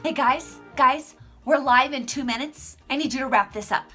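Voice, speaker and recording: Woman acting as a bossy female star, high voice, demanding, giving orders. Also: moderate pace, bright, forceful tone, faint music behind. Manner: bossy, ordering crew around.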